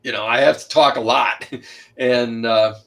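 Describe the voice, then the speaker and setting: Booming middle-aged male author. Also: steady tone, unhurried, creaky. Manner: loud, booming voice, packs in lots of detail; rambling, frequent restarts and uh fillers. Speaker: author and shipwreck researcher, guest. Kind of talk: casual online interview.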